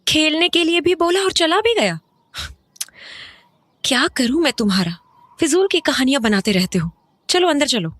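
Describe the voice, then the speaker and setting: A woman's voice: high-pitched, lively intonation, moderate pace, fairly bright tone, no echo. An actress playing an uneasy, questioning mother and wife in an audio horror drama, mixing some English into her talk. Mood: scolding concern. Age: adult. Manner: questioning, conversational, Hindi mixed with English.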